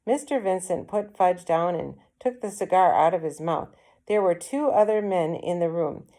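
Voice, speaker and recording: A woman with a slightly low voice reads a children's novel aloud. She narrates in the first person as a storyteller. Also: expressive, moderate pace, warm tone. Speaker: read-aloud reader.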